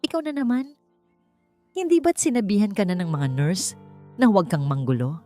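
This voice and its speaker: A woman's voice: medium pitch, very animated, moderate pace, warm tone, faint music behind, voice pushed up, close to an everyday voice. A woman with a Filipino accent voicing a cold, arrogant rich man in an audiobook, mocking and dismissive. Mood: annoyed.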